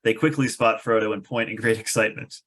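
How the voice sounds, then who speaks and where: A man's voice: on the low side, steady tone, quick pace, fairly bright tone. A man reading stage directions aloud as narrator of a fantasy screenplay table read.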